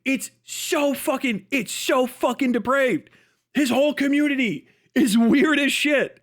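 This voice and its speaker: A man's voice: extremely high pitch, unhurried, fairly bright tone. A man ranting on a casual livestream, mocking and incredulous, swearing heavily as he reacts to a video.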